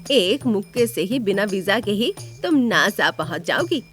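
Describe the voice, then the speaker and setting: A woman's voice: medium pitch, animated, unhurried, a bit bright, soft background music. A woman voicing a stern, quarrelsome older mother-in-law in a scolding, sarcastic manner for a family story. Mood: combative.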